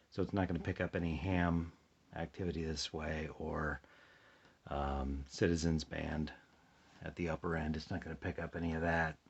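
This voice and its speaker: A slightly muffled home recording of an American man with a very deep voice. He sounds a bit sleepy and husky, talking in a casual, unhurried way as he thinks aloud through a demonstration. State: a little sleepy. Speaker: hobbyist demonstrating a shortwave radio. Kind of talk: casual hands-on demonstration chat. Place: indoors at a tabletop, close to the camera.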